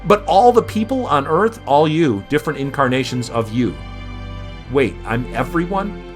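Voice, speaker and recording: Music sits clearly behind a man voicing a newly dead person in a story. The voice is bewildered and questioning, rising and falling in animated astonishment. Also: a little high, moderate pace, natural voice. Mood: bewildered, incredulous. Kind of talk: short story read aloud.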